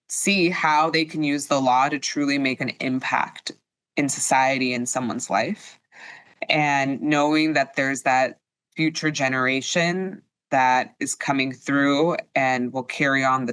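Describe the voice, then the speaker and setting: A woman's voice: a bass voice, fairly bright tone, dry recording. A young adult woman, a law professor and attorney, talking earnestly and upbeat in a casual podcast interview. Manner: earnest, upbeat, talks with many fillers.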